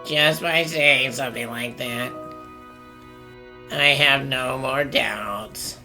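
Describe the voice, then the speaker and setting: A woman voicing an earnest, anxious perfectionist bank manager in a cartoon fan dub, formal and apologetic with a gravelly edge. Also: very deep voice, takes it slowly, sharp tone, soft background music, heavily acted. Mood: resigned, resolute. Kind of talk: animated mystery fan dub acting.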